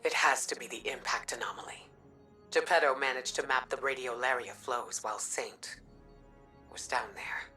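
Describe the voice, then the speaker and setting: A woman voicing a solemn, steady commander in a video game, calm and deliberate, with a breathy, husky voice. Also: rumbling low, faint music behind. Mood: hushed, concerned. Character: solemn, steady female commander offering reassurance.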